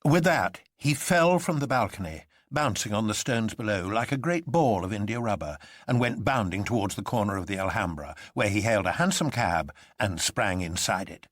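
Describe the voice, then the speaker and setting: A man's voice: fairly low pitch, lively intonation, moderate pace, few pauses, dry recording, studio quality. A man reading dramatic scripted narration of a classic novel. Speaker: narrator and reader.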